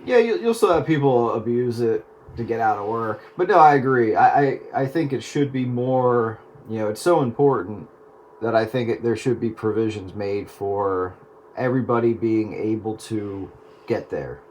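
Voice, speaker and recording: A man's voice: medium pitch, moderate pace, a little mellow. A man in casual radio chat, hesitant and often repeating his words. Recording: noisy radio transmission.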